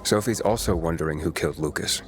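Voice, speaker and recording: Young male game hero played by an actor: lowish voice, casual, confident, music behind. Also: fast talker, close to an everyday voice. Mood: calm, defensive. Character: self-assured young male wanderer, video game hero. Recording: music under the voice.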